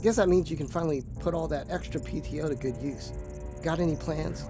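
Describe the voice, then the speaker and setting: A man's voice: a little high, brisk, lightly acted. A man playing a breezy, slightly hesitant spaceship co-pilot in a sci-fi radio drama. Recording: music under the voice.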